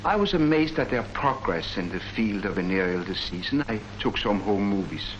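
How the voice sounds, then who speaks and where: An earnest army colonel played by a man lectures with rhetorical force in a low voice. It has the manner of a 1940s public information film. The old soundtrack is dull, with heavy noise. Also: lively intonation, normal speed, close to an everyday voice. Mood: earnest, explanatory. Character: earnest male army colonel presenting public health facts. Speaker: presenter.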